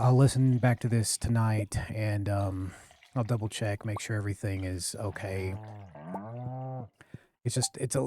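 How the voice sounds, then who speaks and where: A man streaming a farming game, chatting casually into a close boom-arm mic, rambling and apologetic, with a deep voice he keeps low. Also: noisy background. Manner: rambling, self-correcting, apologetic, talks low. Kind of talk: casual live-stream chat while gaming. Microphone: studio mic on a boom arm, close to the face.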